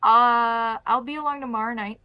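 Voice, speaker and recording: A woman voicing a gruff, blunt, familiar smoked-meat shop man who works as a shady contact in a tabletop game. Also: a little high, fairly even intonation, normal speed, dry recording, dull audio, pitched above the performer's own voice, natural voice. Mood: businesslike.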